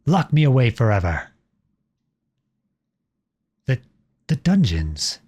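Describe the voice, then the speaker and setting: A man's voice: medium pitch, sing-song, slow, darkish tone, husky, exaggerated voice. A man playing an impassioned, defiant young prince turned soldier in a scripted audio roleplay, with an earnest, dramatic delivery. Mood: anguished, defiant.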